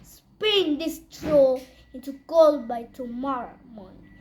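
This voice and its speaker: A storybook reader voicing a greedy, commanding king who makes threats, in a halting delivery. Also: sits high. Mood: threatening.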